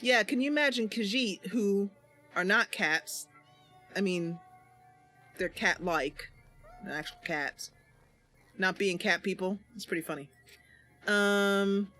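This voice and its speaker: A woman's voice: medium pitch, takes it slowly, pauses often, pushed and bright, soft background music. A woman hosting and narrating a game roleplay, chatting casually while ill and tired. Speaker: channel host and game player.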